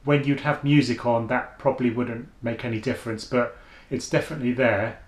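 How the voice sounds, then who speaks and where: A man's voice: fairly even intonation, brisk, reverberant. A man, chatting through a casual headphone review at home and thinking aloud as he goes. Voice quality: deep voice. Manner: chatty, thinking aloud, with frequent restarts and fillers. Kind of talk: casual product review vlog. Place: at home, indoors.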